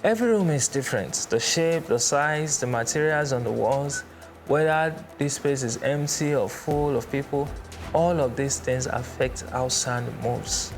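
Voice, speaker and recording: A man presenting an explainer in a measured, didactic way, stressing his points. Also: mid-range voice. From Nigeria. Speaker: presenter, church sound instructor. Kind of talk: explainer with everyday analogies. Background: background music.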